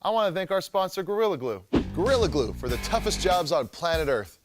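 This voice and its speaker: A man's voice: on the high side, sing-song, brisk. A man hosting a science show, reading scripted narration in a tongue-in-cheek, wisecracking way. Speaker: show host. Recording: music bed under the voice. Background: music.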